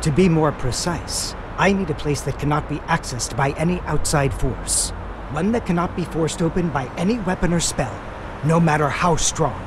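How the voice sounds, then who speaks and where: An actor playing a calm, polite, enigmatic sorcerer who speaks formally in a dubbed anime game cutscene. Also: male voice, fairly high pitch, normal speed, noisy background, lightly acted. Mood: earnest, measured.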